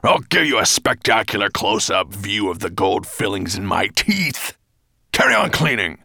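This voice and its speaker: A man's voice: deep voice, very animated, measured pace, vocal fry, husky, no echo, clean studio sound, exaggerated voice. A man voicing a cruel, imperious dragon master in a storybook, with a menacing, scornful growl. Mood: enraged, impatient.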